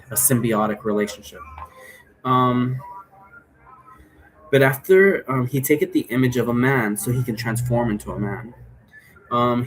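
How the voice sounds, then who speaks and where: Male presenter, medium pitch, casual unscripted explaining, rambling delivery, noisy room recording. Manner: rambling, loosely jumping between asides. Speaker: presenter explaining an occult role-play game.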